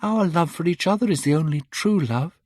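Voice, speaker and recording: A man's voice: a little fast, a little mellow, no room sound, natural voice. A man voicing a tender, desperate young woman who is a stage actress, in a dry audiobook recording. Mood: pleading. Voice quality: high, pleading.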